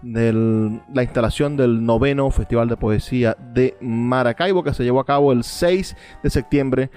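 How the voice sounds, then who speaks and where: A male radio host speaks formally and eloquently. His pitch is medium. Music plays underneath him. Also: normal speed, darkish tone. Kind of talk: radio presenting.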